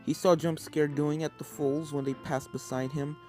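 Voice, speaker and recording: A man's voice: fairly high pitch, level tone, moderate pace, mellow. Male storyteller over game music. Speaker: fan fiction reader, narrator. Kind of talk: scripted story narration with character voices. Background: video game soundtrack music.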